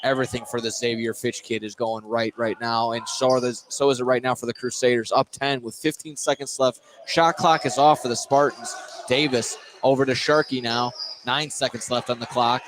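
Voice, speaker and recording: Male play-by-play announcer, live basketball radio commentary, medium pitch, noisy gym ambience. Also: fairly even intonation, normal speed. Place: indoor basketball game, gym noise behind.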